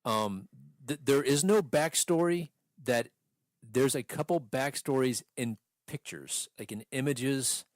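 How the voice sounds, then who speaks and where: A man chatting casually as a podcast host, ranting through a story in a profane, exasperated, animated way. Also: medium pitch, a little slow, no echo. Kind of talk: casual movie rant chat.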